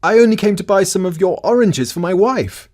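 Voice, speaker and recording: Storybook questing husband, acted by a male narrator: much raised pitch, loud, polite, pleading. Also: lively intonation, fairly quick. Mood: friendly, pleading. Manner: loud, calling out.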